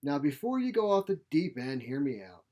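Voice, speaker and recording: A feisty old woman, acted out by a male narrator, speaks in a blunt, folksy, grumbling way. She is a sharp-tongued storybook mother who minces nothing. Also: a little high, big pitch swings, normal speed, pitched above the performer's own voice. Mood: frank, scornful. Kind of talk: short story character reading.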